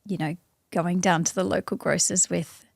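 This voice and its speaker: An Australian woman, an interview guest, speaking thoughtfully in a casual conversation with many pauses and restarts. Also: fairly low pitch, fairly even intonation, vocal fry, no echo, clean studio sound.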